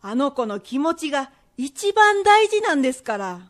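Woman acting a high-voiced, indignant merchant's wife. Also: very animated, normal speed. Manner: sharp-tongued, old-fashioned townswoman speech. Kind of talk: period radio drama acting.

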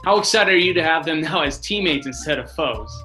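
A man's voice: fairly high pitch, lively intonation, a little fast, bright, forceful tone. An American man in his thirties doing a casual sports interview as a radio announcer over a video call. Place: small office, indoors, on video call. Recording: video call with music underneath. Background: music.

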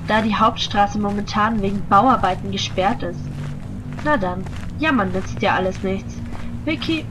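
A woman playing an anxious young lady in an audio drama, expressive and exclamatory. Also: medium pitch, unhurried, close to an everyday voice, low-quality recording. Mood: complaining, shaken. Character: young wealthy woman, anxious would-be victim. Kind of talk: German audio drama acting.

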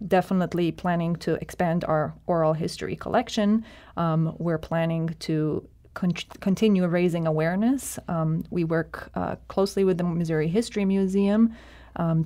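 A woman who directs a university center is interviewed on the radio. Her voice is low and mellow, and she speaks in a measured, earnest way with occasional fillers.

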